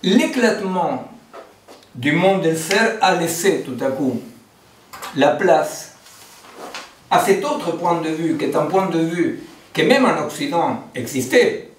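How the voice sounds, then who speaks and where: A man's voice: a little high, animated, slow, pauses often, slight background noise. A man from Argentina, giving a philosophy seminar lecture, digressive, with many fillers and rhetorical questions. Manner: digressive, frequent fillers and rhetorical "n'est-ce pas". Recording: roomy, distant mic.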